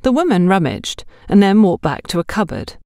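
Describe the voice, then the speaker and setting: A woman's voice: mid-range voice, big pitch swings, moderate pace, a little mellow, dry recording, clean studio sound. A woman narrating a scripted mystery story as an audiobook.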